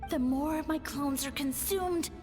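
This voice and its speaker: A woman's voice: sits high, measured pace. A woman voicing a petulant, haughty ancient mushroom lord in a game, whiny and commanding. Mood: indignant whining. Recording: long hall reverb with music.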